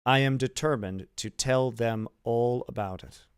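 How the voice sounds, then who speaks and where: A man voicing an anxious, wavering, soft-hearted fellow in an audiobook, agitated and emphatic. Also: medium pitch, measured pace, clear, resonant tone, no echo, studio quality, natural voice. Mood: distressed, determined.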